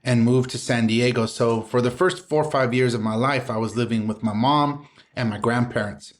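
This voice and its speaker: A man's voice: medium pitch, fairly even intonation, moderate pace. Spoken-word poet man, rhythmic delivery. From Southern California. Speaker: poet and guest. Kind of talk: poetry recital then conversational interview.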